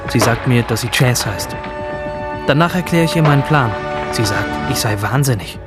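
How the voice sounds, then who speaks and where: A man's voice: mid-range voice, animated, normal speed, close to an everyday voice. An actor playing an obsessive, rebellious male hacker from a virtual future world, narrating a radio drama in the first person. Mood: matter-of-fact. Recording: music under the voice.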